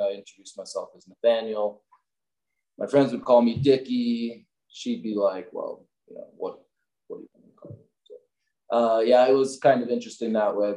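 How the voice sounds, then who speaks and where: A man's voice: on the low side, dark tone. A man in his thirties from Wisconsin, a company owner chatting casually as an interview guest, rambling with many fillers. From Wisconsin, US Midwest. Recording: echoey room.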